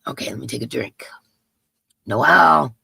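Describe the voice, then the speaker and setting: A woman with a raspy voice hosting a casual livestream in her yarn room, greeting chat viewers by name in a sing-song way and sounding emotional. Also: a bass voice, lively intonation, unhurried, pushed and bright, dry recording. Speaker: livestream host.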